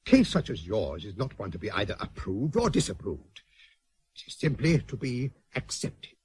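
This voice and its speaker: An actor in a radio drama playing a stiff, prosaic senior naval lord, speaking formally with dry disapproval. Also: male voice, lowish voice, sing-song, a little mellow, husky, no echo, exaggerated voice. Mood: restrained disapproval. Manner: formal, measured, dryly disapproving.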